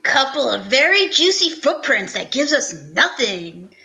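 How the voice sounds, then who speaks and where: A woman's voice: high voice, big pitch swings, measured pace, pushed and bright, exaggerated voice. A woman playing an easygoing male homicide detective with casual, obliging cop talk in an old-time radio parody.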